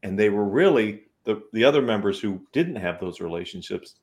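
A man with a fairly low voice hosts a business talk show. He speaks in an upbeat, conversational way, interviewing his guest. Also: animated, normal speed, a little mellow.

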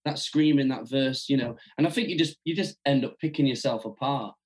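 A man hosts a casual music podcast, his voice at an ordinary middle pitch. He asks his questions in long, rambling runs, circling back and restarting as he goes. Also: fairly quick, crowd noise. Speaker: podcast host. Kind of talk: casual interview chat.

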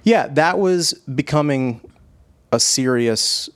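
A man hosting a podcast, chatting casually in an easygoing, halting way. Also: medium pitch, expressive, no room sound. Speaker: podcast host, musician.

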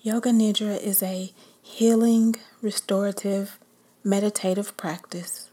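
Slow whispering woman meditation guide. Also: mid-range voice, level tone, warm tone, breathy edge.

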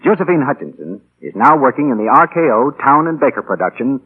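A man reads credits as a formal old-time radio announcer. His voice is medium-pitched and husky. The recording is narrow and dated. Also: very animated, brisk. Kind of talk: formal scripted 1940s announcing. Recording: thin old radio broadcast.

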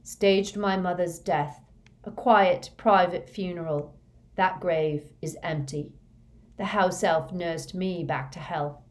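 A lowish-voiced, dazed fanatical servant, played by a woman reading an audiobook. He confesses emptily, in a flat and expressionless drone, as if drugged. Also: takes it slowly. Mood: dazed, emotionless. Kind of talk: audiobook character voice.

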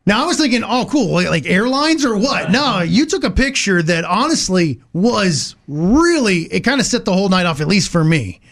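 A man with a noticeably high voice co-hosts a casual talk show. He chats in a joking way and readily pokes fun at himself. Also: big pitch swings, moderate pace, hardly any pauses, a bit bright. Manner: joking, self-deprecating. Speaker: podcast and radio co-host.

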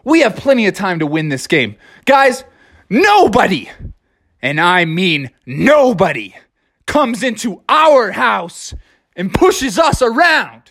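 A fired-up young frog quarterback, voiced by a man, shouts pep talks in a high, bouncy voice. He sounds bossy and excited, his pitch leaping around. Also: slow, vocal fry, full character voice. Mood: pumped up. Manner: yelling, pumped-up pep talk.

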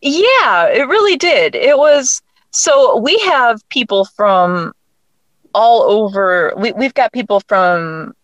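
A woman's voice: fairly high pitch, sing-song, slow pace, no echo, muffled recording. A woman guest, a writer, talking in an enthusiastic, chatty way in a casual interview. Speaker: guest, writer and audio drama creator.

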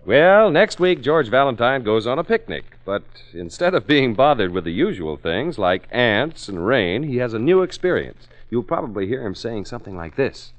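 Animated vintage radio announcer man. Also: medium pitch, moderate pace, hardly any pauses, faint music behind, poor audio. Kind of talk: 1940s radio announcing and sponsor narration.

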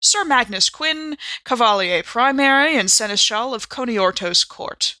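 A woman's voice: fairly high pitch, animated, measured pace, bright, forceful tone, no room sound. A woman voicing a kind, jolly older man, a courtly cavalier with formal, courteous manners, in an audiobook-style reading.

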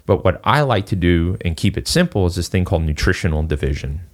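Deep-voiced fitness coach man. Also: sing-song, fast. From Singapore. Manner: loose and rambling, full of "you know" and "like". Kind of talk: casual podcast conversation.

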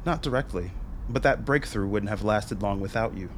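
An actor playing a measured, dry-witted major. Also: male voice, fairly low pitch, slight background noise, lightly acted.

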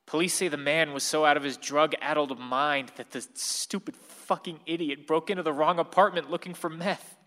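A blunt newsman reading grim bulletins in a radio play. The voice is crisp-edged and forceful, a little high. A long hall reverb surrounds it. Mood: blunt, bitter. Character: blunt male news reporter relaying grim shootings. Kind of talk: radio play narration as newscast.

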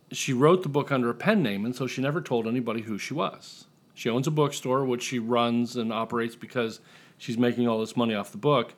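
Casual man chatting as a podcast guest. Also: medium pitch. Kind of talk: casual interview chat.

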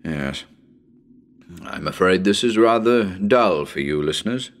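A man's voice: low voice, animated, measured pace. A man playing a nervous radio broadcaster on a ghost hunt in a dramatic reading, talking to listeners in a chatty, hesitant, uneasy way. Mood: growing nerves. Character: nervous male radio broadcaster ghost hunting in haunted house.

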